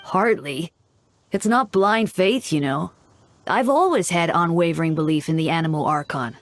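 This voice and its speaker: A woman's voice: fairly low pitch, normal speed, dry recording, lightly acted. A woman voicing a devout young male church deacon in a video game, composed and dryly teasing. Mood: wry. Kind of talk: English video game voice acting.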